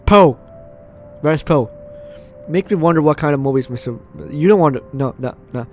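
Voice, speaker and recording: A gaming host giving casual, animated playthrough commentary and reading game text aloud, with guitar music behind. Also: medium pitch, moderate pace, slight background noise. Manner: animated, chatty reactions to the game.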